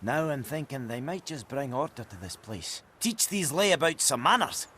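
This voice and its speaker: An actor playing a blunt, foul-mouthed, grumpy dwarf blacksmith in a fantasy video game. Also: male voice, medium pitch, lively intonation, normal speed, sharp tone, slight background noise. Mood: grumbling, businesslike. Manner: blunt, salty.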